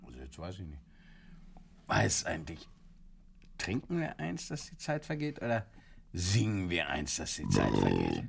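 Creaky-voiced rambling drunk man. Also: deep voice, wildly swooping pitch, moderate pace, pauses often, music in the background, exaggerated voice. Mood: tipsy, unsure. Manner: rambling, repeats himself. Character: drunk man rambling and insisting over song words. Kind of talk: radio play dialogue. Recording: distant voice, background chatter.

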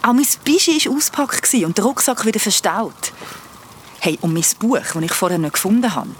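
A woman's voice: medium pitch, very animated, fairly quick, a little hiss or hum, natural voice. An actress playing an ordinary female traveller in a radio drama, with a natural, slightly hesitant manner. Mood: excited recounting. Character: ordinary Swiss female night-train traveller, polite, slightly bewildered.

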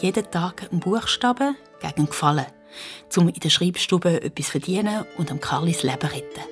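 A woman's voice: on the low side, lively intonation, brisk. Swiss German woman narrating a children's tale. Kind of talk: vivid storytelling for a children's audio drama. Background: music.

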